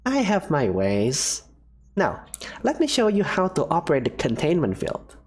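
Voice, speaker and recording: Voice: mid-range voice, wildly swooping pitch, measured pace, a little hiss or hum. A voice actor doing a bubbly, breezy woman professor. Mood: confident. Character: cheerful secretive woman professor, daring scientist. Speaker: character voice in a fan visual novel. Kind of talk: visual novel voice acting.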